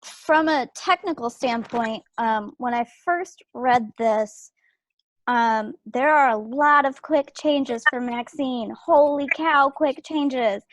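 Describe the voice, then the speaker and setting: A woman's voice: high voice, slow pace, dry recording. A woman talking casually and emphatically in a group feedback discussion on a video call. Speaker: table read participant giving feedback.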